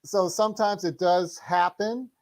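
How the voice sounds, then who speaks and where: Middle-aged man explaining in halting, rambling bursts. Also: sits high, fairly even intonation, a little mellow. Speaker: martial arts instructor, podcast guest. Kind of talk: casual conversational interview.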